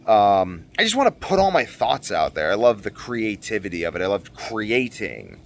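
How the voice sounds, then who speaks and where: Male vlogger, lowish pitch, casual monologue, unscripted, earnest, rambling, emphatic repetition. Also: wildly swooping pitch, normal speed, creaky. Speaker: video blogger.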